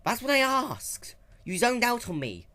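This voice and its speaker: A woman acts out a brash tomboy pegasus from a cartoon fan story. The voice is pushed high and jumps around with excitable, teasing cockiness. The delivery is slangy and full of exclamations. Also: a little slow. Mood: annoyed. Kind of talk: dramatic fan-fiction reading.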